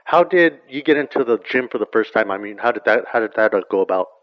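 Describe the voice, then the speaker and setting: Fast-talking male interviewer, dry dull sound. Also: on the low side, expressive, dry recording. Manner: quick, rambling questions. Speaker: interview host. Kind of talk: interview questions.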